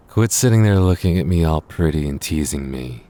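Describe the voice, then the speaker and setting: Man acting a very deep-voiced possessive boyfriend. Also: warm tone. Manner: intimate, teasing, coaxing. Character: possessive, affectionate jealous young boyfriend. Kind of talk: boyfriend ASMR audio roleplay.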